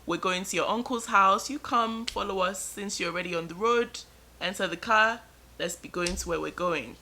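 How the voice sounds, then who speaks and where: A woman doing a calm, stern Nigerian dad. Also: high voice, a little hiss or hum, heavily acted. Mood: calm questioning. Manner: calm, measured questioning. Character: calm middle-aged Nigerian father, questioning firmly.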